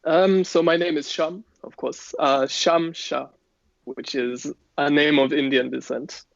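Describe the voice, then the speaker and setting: A man with a gravelly, level voice that barely rises or falls. He answers as a musical guest in an easy, unhurried way. The audio is dry and dull. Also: a little high, no echo. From Kenya. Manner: relaxed, unhurried, laughs easily. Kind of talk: casual interview answers.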